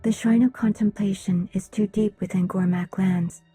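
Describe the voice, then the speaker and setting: An actress playing a solemn, reserved alien woman in a video game, speaking in a measured, formal way. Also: mid-range voice, fairly even intonation, mellow, slightly rough, no echo, faint music behind. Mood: grave, formal. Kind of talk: video game cutscene dialogue.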